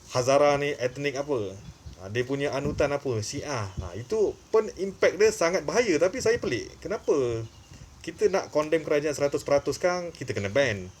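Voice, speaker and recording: Male channel host, Malaysian accent, mid-pitched, opinionated and emphatic off-the-cuff commentary, faintly noisy recording.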